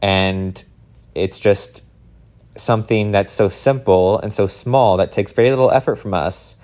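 A man's voice: fairly low pitch, steady tone, measured pace, a little mellow. A man speaking as a motivational speaker in an informal, earnest and encouraging talk straight to his audience.

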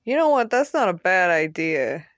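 A woman's voice: fairly low pitch, big pitch swings, moderate pace, no room sound, clean studio sound. A woman voicing a brash, streetwise male ex-convict with a blunt, slangy, aggrieved manner in an audio drama. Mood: indignant.

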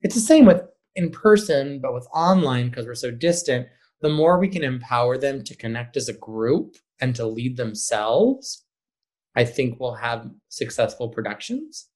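A man who directs high school theater, answering interview questions casually and acting out bits of imagined dialogue in an animated way. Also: moderate pace.

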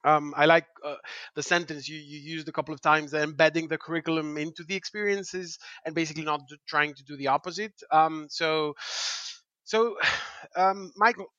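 A man from Greece with a Greek accent, hosting a podcast interview in a halting way with many restarts. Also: a little high, steady tone, pushed and bright, dry recording, muffled recording. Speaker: podcast host. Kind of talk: casual interview chat.

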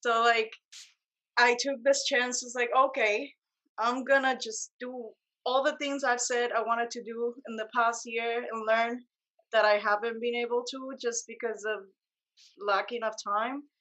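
A woman's voice: fairly high pitch, fairly even intonation, unhurried, fairly bright tone, poor audio. Young woman with a Puerto Rican accent. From Puerto Rico. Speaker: guest, photographer and motion graphic designer. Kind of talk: casual interview answers.

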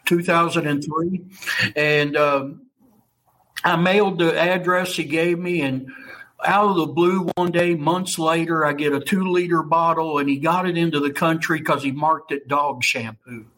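A man's voice: on the high side, fairly even intonation, measured pace, a bit bright, poor audio. A man in his fifties with a Kentucky accent, telling his story as an interview guest in a blunt, salty, conversational way. He keeps checking in with his listener with "okay?" and "you know.". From United States. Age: 50s. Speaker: interview guest, ayahuasca ceremony leader.

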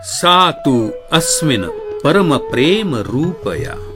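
Venerable storyteller sage: unhurried, reverent, mid-pitched with lively swings, clear music behind. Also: male voice, heavily acted. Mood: reverent, joyful. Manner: measured, reverent, expressive. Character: venerable male sage preaching Krishna's story to devotees. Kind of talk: devotional discourse, storytelling.

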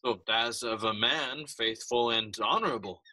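A man's voice: medium pitch, normal speed, sharp tone, no echo, low-quality recording. A man playing a calculating king in a Shakespearean audio play, commanding and probing in manner.

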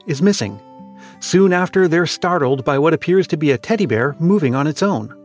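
A man's voice: fairly high pitch, a little mellow. A man hosting a podcast with scripted narration. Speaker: podcast host.

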